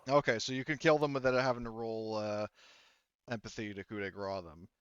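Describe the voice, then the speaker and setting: A man narrating improvised tabletop roleplay as game master, halting and conversational, on slightly dull, dry audio. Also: fairly low pitch, fairly even intonation, smooth, no echo.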